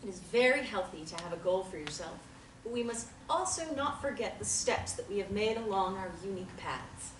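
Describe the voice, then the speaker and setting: A woman reads a calm female group therapist. She speaks in a measured, gently encouraging way and keeps the group steady. The recording sounds echoey, with the mic set well back in the room. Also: medium pitch, recorded from a distance, heavy background noise, natural voice. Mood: reassuring. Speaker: reader of stage directions and the therapist. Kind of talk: table reading of a stage play.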